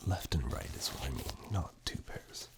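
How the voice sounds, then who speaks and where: A man doing soft-spoken, half-whispered ASMR roleplay, reassuring and unhurried, speaking directly to the listener close to a binaural microphone. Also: very noisy. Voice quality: breathy whisper mixed with very deep, gravelly murmur. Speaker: ASMR performer playing caregiver and eye examiner roles.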